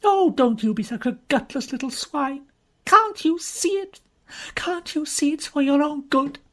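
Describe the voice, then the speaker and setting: A woman reading an audiobook, voicing a jealous young woman who rages in loud, tearful outbursts. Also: high voice, expressive, measured pace, voice pushed up. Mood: furious.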